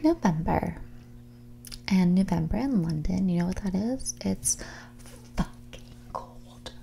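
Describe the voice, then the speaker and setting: A woman playing a spoiled, haughty young heiress in a whispered, soft-spoken ASMR roleplay, with a condescending, drawling manner. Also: mid-range voice, gravelly, a little hiss or hum. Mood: condescending, snobbish. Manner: snobbish, condescending, drawling, filler-laden valley-girl phrasing.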